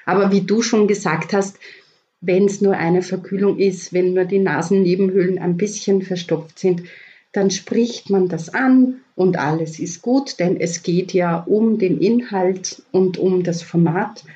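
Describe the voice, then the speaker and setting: A woman with an Austrian accent, a voice coach giving calm, explanatory tips in a podcast interview. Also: fairly low pitch, unhurried, dull audio. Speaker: voice coach guest.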